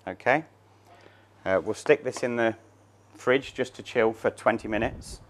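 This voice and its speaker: A man's voice: medium pitch, a little slow, slight background noise. A man in his thirties, a chef, talking through a cooking demonstration step by step in a large showroom kitchen. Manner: plain, step-by-step explaining while working.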